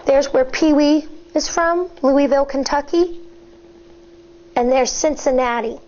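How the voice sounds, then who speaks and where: A woman teacher reading a picture book aloud to children, expressive and deliberate, stepping aside at times to explain. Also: sits high, a little hiss or hum. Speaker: elementary school teacher. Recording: dull, lo-fi sound.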